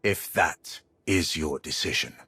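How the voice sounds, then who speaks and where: A man's voice: a bass voice, unhurried, sharp tone, no room sound, full character voice. An actor voicing a stern, impatient male warrior in a video game, formal, curt and commanding. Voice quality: raspy. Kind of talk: video game cutscene acting.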